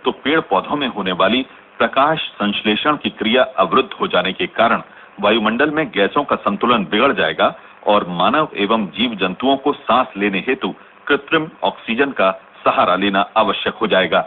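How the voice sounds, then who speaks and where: Formal male newsreader acted for a radio play: lowish voice, measured and grave, thin noisy radio sound. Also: expressive, close to an everyday voice. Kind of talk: scripted Hindi news bulletin in radio drama. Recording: noisy, tinny narrow-band radio recording.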